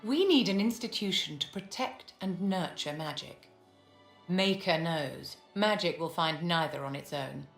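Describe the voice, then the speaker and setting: An actress playing a poised, aristocratic mage in a video game, speaking in a measured, courtly and haughty manner. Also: female voice, lowish voice, animated, gravelly. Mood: poised, assured. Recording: reverberant hall with music underneath.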